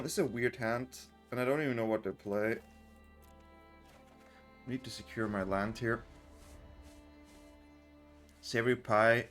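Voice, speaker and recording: Male streamer with a non-native accent, relaxed gameplay talk with faint music under it. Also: mid-range voice. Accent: unplaced non-native accent. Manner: chatty, loose, with many fillers and restarts. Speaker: content creator and streamer. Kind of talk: casual gameplay commentary.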